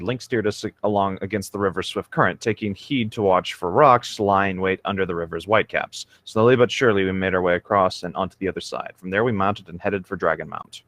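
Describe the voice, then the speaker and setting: A man with a slightly low voice, reading aloud in an amateur style and chatting casually. He sounds somewhat hesitant and unassuming. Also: fairly quick, no echo.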